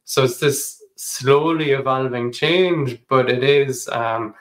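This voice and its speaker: A man's voice: medium pitch, slow. A man from Ireland with an Irish accent, hosting an interview and asking questions in a casual, searching way with many fillers. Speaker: podcast host. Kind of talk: interview, conversational.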